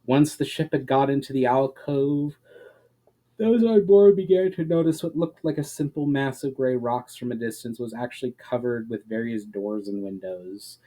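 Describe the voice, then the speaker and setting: A man with a dark, mellow voice of medium pitch reads a fantasy tale aloud. His narration is dramatic, with sentence endings that fall away softly. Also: rough recording. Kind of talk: dramatic reading of a fantasy story.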